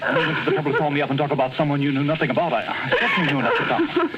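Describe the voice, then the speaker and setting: Quick, hearty gentleman rescuer. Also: a little high, wildly swooping pitch. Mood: amused relief. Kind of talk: 1940s radio drama acting. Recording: very noisy, muffled vintage radio recording.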